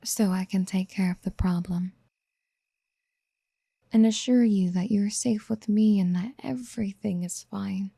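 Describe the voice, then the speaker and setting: Casting: actress as obsessive motherly yandere for ASMR roleplay, very mellow and breathy, slow whispered coaxing, tender and possessive. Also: mid-range voice, steady tone, no echo. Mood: tender, protective. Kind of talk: soft-spoken ASMR roleplay acting. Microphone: close mic.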